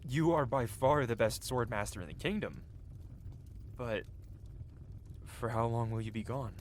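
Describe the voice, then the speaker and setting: A man's voice: medium pitch, a little slow, a little hiss or hum, lightly acted. A man playing a cocky, flirty yet tender-hearted medieval blacksmith in an intimate, theatrical ASMR fantasy roleplay. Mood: worried, subdued. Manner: teasing, theatrical, with soft hesitations. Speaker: audio roleplay performer. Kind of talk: ASMR roleplay acting, intimate one-sided dialogue.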